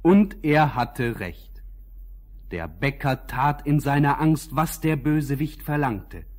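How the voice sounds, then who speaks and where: A man narrating a scripted fairy tale as a calm, measured storyteller. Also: medium pitch.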